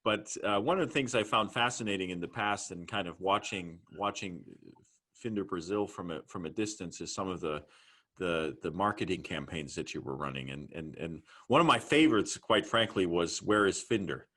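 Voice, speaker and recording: A man's voice: sits low, animated, moderate pace, fairly bright tone. A man from Germany, a native German speaker, chatting casually as an interviewer and circling back over his phrases.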